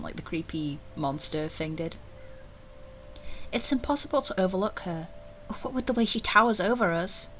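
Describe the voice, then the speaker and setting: A woman's voice: medium pitch, fairly bright tone, poor audio. Woman narrating with faint background noise. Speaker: player reading a visual novel aloud. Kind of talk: expressive story narration with casual asides.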